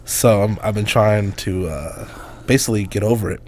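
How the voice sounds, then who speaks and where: A man hosting a casual sports podcast, talking through a hoarse voice in a loose, rambling way with lots of fillers. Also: on the low side, normal speed, darkish tone, dry recording. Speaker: podcast host.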